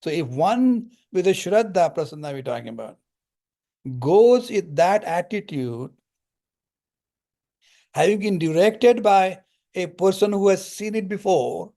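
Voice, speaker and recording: An older man giving a spiritual discourse, explaining ideas through calm parables and Sanskrit terms, then answering questions from the audience. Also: fairly high pitch. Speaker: spiritual lecturer.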